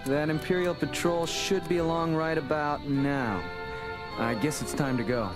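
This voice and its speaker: An actor playing a young, earnest rebel starfighter pilot in a space adventure audio drama, with a boyish, keen manner. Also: male voice, on the high side, fairly even intonation, unhurried, music in the background, close to an everyday voice. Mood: calm, assured.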